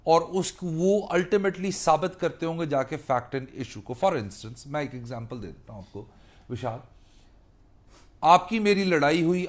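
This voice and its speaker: A man with a Pakistani accent giving an explanatory legal lecture as a mentor, emphatic, repeating key phrases and mixing Urdu with English. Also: fairly high pitch. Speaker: law teacher and mentor.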